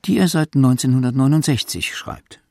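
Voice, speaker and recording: A man's voice: mid-range voice, normal speed, gravelly, a little mellow, no room sound, clean studio sound. A man delivering formal, erudite scripted narration for a literary radio feature.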